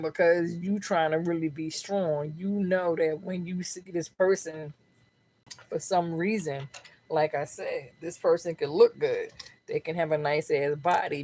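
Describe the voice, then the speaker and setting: Slangy, profane woman reading tarot. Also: darkish tone. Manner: slangy, profane, keeps asking "you know what I'm saying"; lowers her voice to keep it down. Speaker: tarot reader. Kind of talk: live tarot reading, casual chat. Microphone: own microphone, close up.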